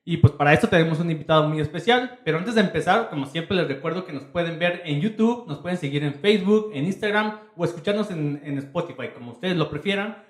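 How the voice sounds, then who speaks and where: A man's voice: a little high, lively intonation, fast, few pauses. A man hosting a conversational podcast interview, hesitantly repeating phrases as he searches for words. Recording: echoey, roomy sound.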